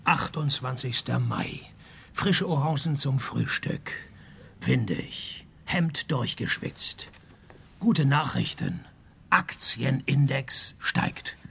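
An actor playing a world-weary, wisecracking private detective in a radio play, dry and deadpan, with a husky, gravelly voice. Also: male voice, mid-range voice, slow, slight background noise, exaggerated voice. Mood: deadpan reading. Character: sardonic, world-weary male private detective, middle-aged, broke. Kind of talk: first-person radio play narration and dialogue.

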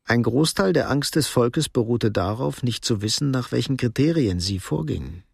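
A man narrating a radio drama as a sober storyteller, measured and literary, with a husky voice. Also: medium pitch, expressive, a little mellow, no echo, clean studio sound. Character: sober male narrator of a Cold War crime story.

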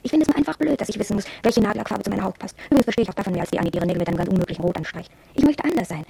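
An actress playing a proud, headstrong young shop apprentice, confiding matter-of-factly in a radio drama monologue, with a husky voice. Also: female voice, mid-range voice, lively intonation, very fast, warm tone, no room sound, heavily acted. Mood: confiding, defiant.

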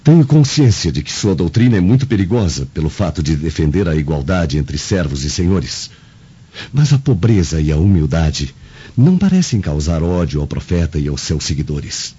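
Low, mellow, awestruck Roman. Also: male voice, big pitch swings, normal speed, breathy edge, muffled recording, exaggerated voice. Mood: deferential, thoughtful. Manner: solemn, deferential storytelling. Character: earnest, awed Roman senator, humble and reflective. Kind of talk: radio drama acting.

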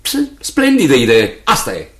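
An actor playing a kindly, nervous young fiancé in a Romanian radio play, earnest and hesitant, often repeating himself. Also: male voice, medium pitch, huge pitch swings, a bit bright. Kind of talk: Romanian radio theatre acting.

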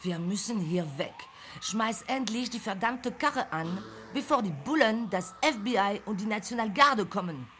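A woman's voice: mid-range voice, expressive, moderate pace, fairly bright tone, a little hiss or hum, lightly acted. A woman playing an impatient, indignant young guerrilla in a German radio play, scolding urgently. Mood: urgent, nagging. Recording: music behind.

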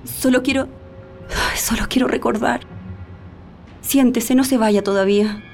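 A woman's voice: on the high side, fairly even intonation, a little slow, gravelly. A woman playing a sorrowful, insistent witness recalling past atrocities in a radio drama. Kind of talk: radio drama acting, reminiscence. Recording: faint music under the voice.

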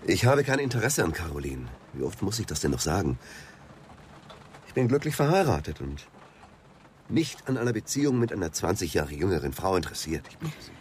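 Very deep-voiced, defensive aristocrat. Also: male voice, big pitch swings, a little mellow, slight background noise. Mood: indignant. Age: middle-aged. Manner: terse, indignant, halting when pressed. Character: proud married aristocrat suspect, defensive, tersely denying. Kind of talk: German radio drama acting.

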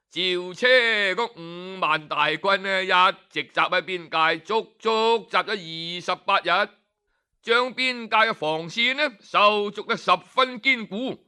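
A man with a Hong Kong Cantonese accent spins an old tale in the traditional storyteller's manner. His voice sits high and carries a clean, smooth tone, and he lingers over particles and pauses for effect. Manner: leisurely, expressive, with drawn-out particles and dramatic pauses.

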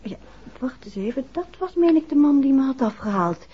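A woman's voice: high-pitched, expressive, normal speed. A woman acting a detective's shaken but stubborn, affectionate wife in a 1960s radio drama, hesitant and insistent by turns. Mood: recounting, uneasy. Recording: muffled old 1960s radio recording.

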